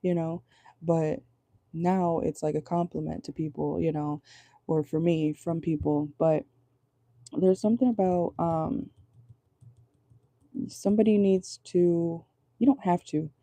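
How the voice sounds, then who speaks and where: A woman with a low voice giving a casual tarot reading from home, chatty and rambling, often checking in with her viewers. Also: mellow, no room sound. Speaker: tarot reader. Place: at home indoors at night.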